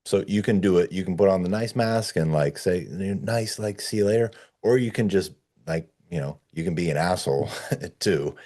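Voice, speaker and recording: A man's voice: sits low, normal speed, hardly any pauses, warm tone. A man leading a discussion, giving advice in an informal, plain-spoken, conversational way. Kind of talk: casual coaching conversation.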